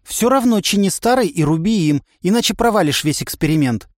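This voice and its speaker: Guy putting on a squeaky petulant girl, stubborn and whiny, pitched way up, dry recording. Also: expressive, fairly quick, no echo. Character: timid, stubborn young woman, fussy and whiny. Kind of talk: audiobook character voice.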